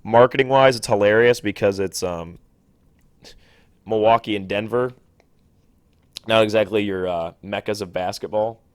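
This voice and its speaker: A young man hosting a sports podcast alone, talking quickly and casually, often correcting himself mid-sentence. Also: on the low side, clear, resonant tone, dry recording. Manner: quick, self-correcting and chatty. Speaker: podcast host. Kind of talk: solo sports podcast talk.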